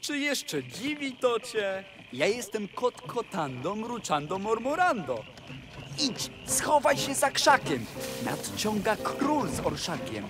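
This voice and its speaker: A man playing a sly, boastful talking tomcat servant in a rhymed audio fairy tale, with theatrical, deliberate delivery. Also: sits high, very animated, over music, exaggerated voice. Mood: triumphant.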